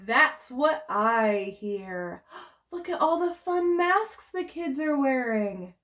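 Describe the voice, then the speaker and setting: High-pitched, unhurried woman leading storytime. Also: animated, a bit bright, lo-fi sound. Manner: encouraging, exclaiming, simple child-directed speech. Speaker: children's librarian leading a baby storytime. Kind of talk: sing-song picture book read-aloud and coaching for babies and parents.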